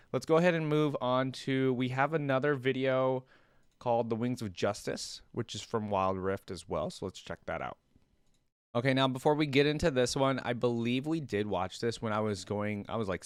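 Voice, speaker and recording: Low-voiced man giving casual reaction commentary. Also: clear, resonant tone, dry recording. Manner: rambling, thinking aloud. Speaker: host of a reaction video channel.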